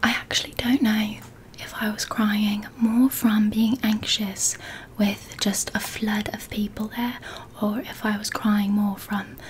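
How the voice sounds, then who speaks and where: A young woman whispering softly and reassuringly into a close microphone in an ASMR roleplay. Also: medium pitch, little pitch movement, a little slow, a little hiss or hum, low-quality recording. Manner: soft whispering, gentle and reassuring. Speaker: ASMR creator.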